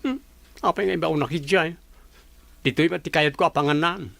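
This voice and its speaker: A woman's voice: sits low, fairly quick, vocal fry, fairly bright tone, dry recording. A woman playing a chatty young workmate in an audio drama, bantering bluntly with an Ilocano accent. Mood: teasing. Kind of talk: Ilocano radio-style drama acting.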